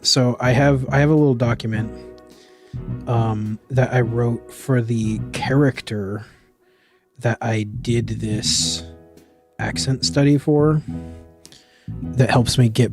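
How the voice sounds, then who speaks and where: Male streamer, mid-pitched, rambling casual chat in an assumed Scottish accent, soft music underneath. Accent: put-on Scottish, a mix of Glaswegian and Edinburgh. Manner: rambling, with many fillers and self-corrections. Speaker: live streamer.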